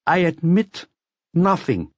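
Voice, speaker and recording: A man's voice: on the high side, very animated, slow, no echo, lo-fi sound, voice pushed up, full character voice. A man voicing a defiant, scornful young woman in an audiobook, a murder suspect giving curt, stonewalling answers. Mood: stubborn, defiant. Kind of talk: audiobook character voice.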